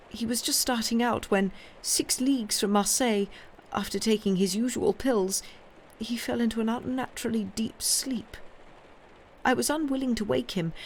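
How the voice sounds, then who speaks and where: A reader voicing an elderly, grief-stricken noblewoman in an audiobook, speaking in a high, trembling, tearful way. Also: a little hiss or hum, lightly acted. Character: grieving elderly noblewoman, widowed, dazed. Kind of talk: audiobook character voice.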